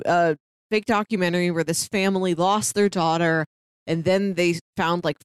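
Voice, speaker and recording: A woman's voice: fairly low pitch, smooth, no echo. A woman co-hosting a podcast, casually discussing film and recounting things in explanatory detail. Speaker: podcast co-host.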